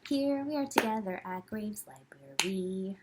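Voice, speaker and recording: A woman hosting a children's library storytime, speaking in simple, expressive phrases and addressing young listeners directly as she reads and chats. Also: medium pitch, unhurried, very noisy.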